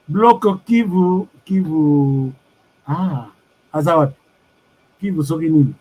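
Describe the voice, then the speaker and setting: Husky-voiced Congolese man streaming commentary. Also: on the high side, slow pace, dull audio. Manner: animated, mixing French with Lingala, reading viewer comments. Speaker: online commentator. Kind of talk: live-streamed political commentary.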